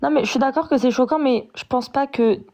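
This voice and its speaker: A woman's voice: sits high, brisk, vocal fry, warm tone, dull audio. A woman with a Paris suburban accent, a direct and questioning guest in a casual live chat.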